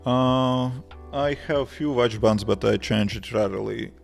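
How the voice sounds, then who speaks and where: A man in his thirties with a Latvian accent, a developer chatting casually on a live stream in simple, halting English with many restarts. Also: medium pitch, creaky, faint music behind. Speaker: livestreaming developer answering chat.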